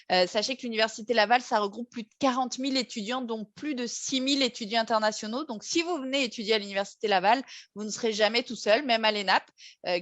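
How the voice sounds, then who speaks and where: A woman's voice: a little high, fairly bright tone, no echo. A woman with a French accent hosting an informational talk, explaining things in a friendly way with many hesitations. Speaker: host.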